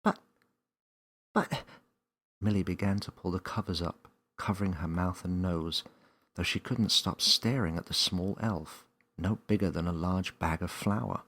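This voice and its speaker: A man reading a children's story as narrator, in an expressive scripted storybook style. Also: sits low, normal speed.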